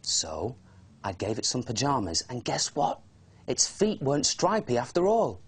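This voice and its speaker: A man voicing an excitable, curious young dragon who tells his adventure in a children's storybook, wide-eyed and eager. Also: medium pitch, a little slow, husky, full character voice. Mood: amazed.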